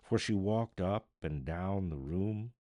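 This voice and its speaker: A man narrating literature as an audiobook reader, theatrical, deliberate and solemn. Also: low-pitched, warm tone, dry recording.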